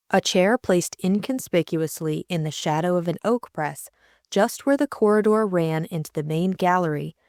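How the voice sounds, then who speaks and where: A woman's voice: on the low side, darkish tone, no echo, studio quality. A woman reading a novel aloud as scripted audiobook narration.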